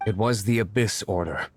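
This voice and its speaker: A man's voice: fairly low pitch, slight background noise. A man voicing an aloof, brooding young nobleman and secret vigilante in an anime-style video game, speaking in a cool, formal, dryly disdainful way.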